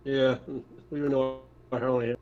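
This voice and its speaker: A man's voice: mid-range voice, little pitch movement, unhurried, darkish tone, highs cut off. A man chatting casually as a player in a tabletop game. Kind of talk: casual chat.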